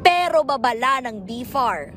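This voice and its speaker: A woman with a high, bright-toned voice reads a broadcast news report. Her delivery is measured and formal, paced for television. A faint hiss of noise sits under the voice. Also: very animated. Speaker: field reporter.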